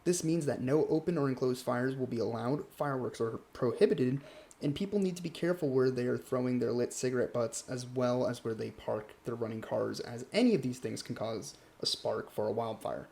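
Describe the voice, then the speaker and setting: A man acting as a local TV news anchor with a medium-pitched voice. He reads the morning news earnestly, in a scripted drama. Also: brisk, creaky, a little mellow, close to an everyday voice. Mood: composed, earnest.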